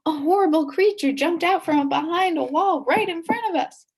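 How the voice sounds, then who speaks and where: A woman reading a children's story aloud in an expressive, dramatic way, dropping into hushed suspense at times. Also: very high voice, normal speed. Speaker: story reader.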